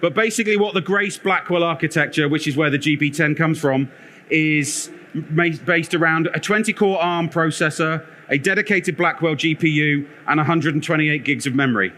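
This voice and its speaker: A man with a loud, bright voice presents on a conference stage, pitched a little high for a man. He talks in an energetic, joking way, wandering about as he explains. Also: normal speed. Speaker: conference speaker, product lead. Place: conference theatre.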